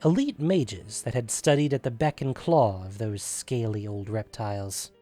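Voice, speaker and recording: A man's voice: fairly low pitch, measured pace, warm tone, soft background music. A man reading a scripted fantasy story as a dramatic narrator.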